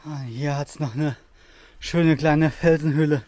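A man's voice: on the high side, fairly even intonation, unhurried, a little mellow, no echo. A man in his thirties talking casually while hiking through a rocky forest, reviewing outdoor gear with lots of fillers and enthusiasm. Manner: chatty and enthusiastic, with frequent fillers.

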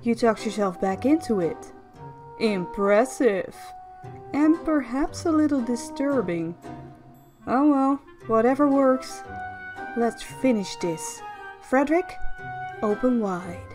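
A young female tactician from a fantasy game, acted by a woman, speaks slowly in a lightly high voice. She is expressive, affectionate and teasing, with faint music behind her words. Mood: teasing, encouraging. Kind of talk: fan dub of a fantasy game dialogue.

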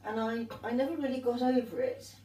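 A woman's voice: on the high side, brisk. An older woman, an artist, telling her story in a rambling, reminiscing way at a tea table in a glass conservatory. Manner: rambling, warm reminiscing with restarts. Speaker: artist and guest. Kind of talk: conversational storytelling. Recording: echoey room, distant mic, faint hiss.

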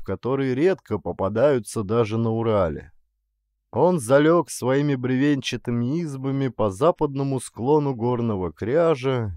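A man narrating a literary story as an audiobook, with expressive, suspenseful delivery. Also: medium pitch, dry recording, clean studio sound.

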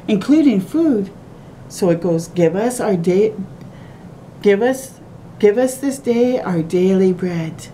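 A woman's voice: dark tone, rough recording. A middle-aged woman reading a children's picture book aloud with asides, in simple sing-song phrasing addressed to little ones. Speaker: children's storytime reader. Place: small room at home, by a window. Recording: clear background noise with low rumble.

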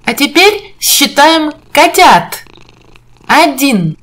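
A woman's voice: sits high, sing-song, very slow, a bit bright. A woman narrating an educational video for young children in an encouraging, sing-song teacher's manner, putting questions to the viewer. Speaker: presenter of a children's counting video.

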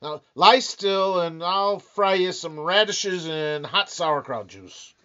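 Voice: mid-range voice, lively intonation, slow pace, sharp tone, smooth. An actor playing a weary, sarcastic, penny-pinching husband in an old-time radio comedy, grumbling and blustering wryly. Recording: dull, lo-fi band-limited sound.

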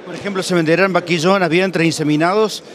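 A middle-aged man with an Argentine accent, presenting and interviewing as an agricultural TV journalist in measured, formal phrases. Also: sits high, fairly even intonation, crowd noise. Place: large indoor event hall. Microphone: handheld interview microphone.

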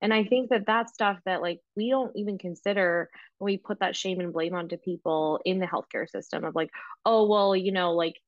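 A young woman speaking over a laptop microphone from a small room at home, her voice sitting a little low and slightly muffled. She talks in a loose, animated way, full of filler words, as a guest in a casual interview. The sound is close and dry. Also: a little fast, no echo. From Canadian prairies. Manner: animated, gesturing, many filler "like"s. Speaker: registered dietitian guest. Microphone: laptop microphone on video call.

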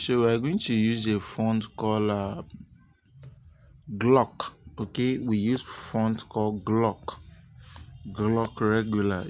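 A man narrating a step-by-step software tutorial unscripted, with halting, repetitive instructional phrasing. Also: fairly low pitch. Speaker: tutorial presenter.

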